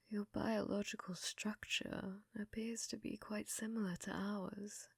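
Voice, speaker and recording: Woman playing a slow, whispery obsessive scientist. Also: mid-range voice, no echo, studio quality. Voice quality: soft, breathy, often whispered. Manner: slow, deliberate, precise clinical phrasing. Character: obsessive, unhinged woman scientist, clinically curious and flirtatious. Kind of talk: ASMR audio roleplay, close and intimate.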